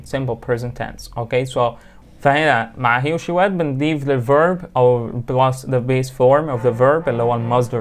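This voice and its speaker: Fast, clear-voiced Egyptian English teacher. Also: male voice, mid-range voice. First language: Arabic. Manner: explains and repeats example sentences slowly, fast asides. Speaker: English instructor. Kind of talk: online grammar lesson, mixing Arabic and English. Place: small room indoors.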